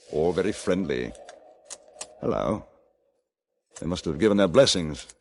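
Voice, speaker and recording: A dry, understated ex-cavalry captain. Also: male voice, low voice, expressive, slow pace, slight background noise, heavily acted. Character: reserved English ex-cavalry captain, adult man. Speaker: narrator and lead. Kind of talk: 1950s radio drama acting. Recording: old radio broadcast with music bed.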